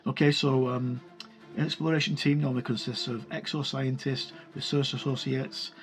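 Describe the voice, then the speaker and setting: Male reviewer, mid-pitched, casual and unscripted, halting delivery with self-corrections, music underneath. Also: level tone. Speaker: host reviewing a tabletop game book.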